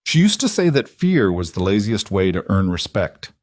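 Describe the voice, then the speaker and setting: A man's voice: lowish voice, big pitch swings, normal speed, no echo. A man voicing a mafia boss's frank, wry sister in an audiobook, direct and reflective. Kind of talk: audiobook character dialogue.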